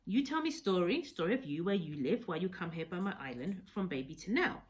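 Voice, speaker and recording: Storybook role: elderly Japanese castaway man played by a woman, earnest, halting learner's English, measured pace, expressive intonation. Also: fairly low pitch. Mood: eager, insistent. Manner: slow, measured, clipped broken English.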